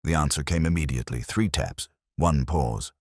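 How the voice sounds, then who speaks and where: A man reads a scripted mystery story in a measured, atmospheric, dramatic way. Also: rumbling low, no echo, studio quality. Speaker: audiobook narrator.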